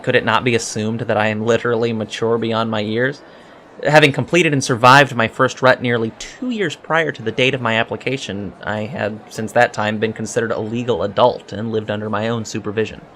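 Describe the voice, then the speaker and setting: A man's voice: fairly low pitch, steady tone, a little fast, a bit bright, slight background noise, natural voice. A man playing a polite, precocious teenage alien cadet in a sci-fi audio drama, earnest and slightly hesitant. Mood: earnest, explaining. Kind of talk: scripted audio drama dialogue.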